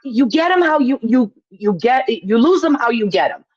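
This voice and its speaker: A woman's voice: fairly high pitch, expressive, moderate pace, breathy edge, highs cut off. A woman from Poland, chatting casually as an opinionated call-in guest, emphatic and repeating herself to make a point.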